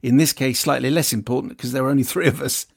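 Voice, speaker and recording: Creaky-voiced male radio presenter. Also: medium pitch, steady tone, quick pace. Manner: wry, conversational asides. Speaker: radio film show host. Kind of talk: chatty presenting, reading listener emails aloud.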